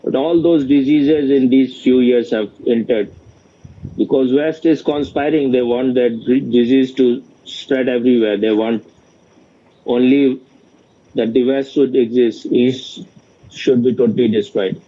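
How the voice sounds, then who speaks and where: A man giving an informal spiritual question-and-answer talk as a teacher, speaking in a halting, repetitive and bluntly opinionated way. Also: mid-range voice, fairly even intonation, warm tone. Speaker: spiritual teacher in dialogue with a student. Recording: dull, lo-fi sound.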